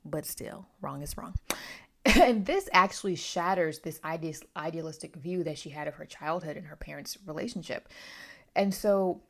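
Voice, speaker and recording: A woman's voice: low voice, normal speed, a bit bright. A woman talking casually through a book review, opinionated and emphatic, often wandering into asides.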